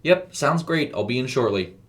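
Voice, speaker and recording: A man's voice: mid-range voice, animated, fairly bright tone, pitched above the performer's own voice, lightly acted. A man as a harried suburban mother. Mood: brisk. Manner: hurried, hesitant, apologetically polite. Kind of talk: audio storybook character voice.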